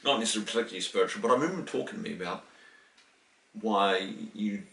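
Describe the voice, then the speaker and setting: A man's voice: on the low side, rapid-fire, creaky, a bit bright, recorded from a distance. A New Zealand man in his late fifties, answering interview questions in a rambling, chatty way with small laughs. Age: 50s. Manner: rambling, with restarts and chuckles. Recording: roomy, voice from the mic.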